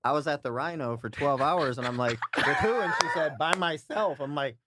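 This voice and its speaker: A man's voice: on the high side, a little fast, heavy background noise. A man hosting a casual podcast chat, joking loosely and throwing in asides. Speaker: podcast host.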